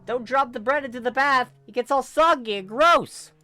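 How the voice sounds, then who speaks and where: Performer voicing a high-pitched, chirpy oblivious teen boy. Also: moderate pace, dry recording, faint music behind. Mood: cheerful, oblivious. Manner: bright, exclaiming, naive. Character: cheerful, oblivious teenage boy friend.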